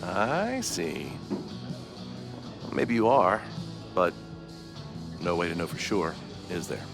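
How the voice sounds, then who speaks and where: A man's voice: low voice, sing-song, slow pace, gravelly, exaggerated voice. A man playing a wary, paranoid bartender and protest organizer in a video game, terse and probing in delivery. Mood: suspicious. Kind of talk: naturalistic video game acting. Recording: guitar music under the voice.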